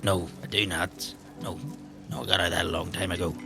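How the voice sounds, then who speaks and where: A man playing a grumpy, evasive old man at a pub, halting and defensive, with an or lilt, in improvised tabletop roleplay. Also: low-pitched, little pitch movement, vocal fry, music in the background. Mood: gruff, reluctant. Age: older. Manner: halting, defensive, repeats himself.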